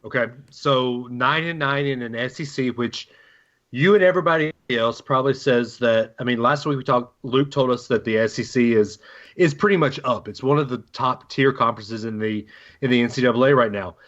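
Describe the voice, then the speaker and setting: A man's voice: mid-range voice, moderate pace, fairly bright tone. A man hosting a sports talk show, putting conversational questions to his co-hosts. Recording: dull, narrow-band lo-fi sound.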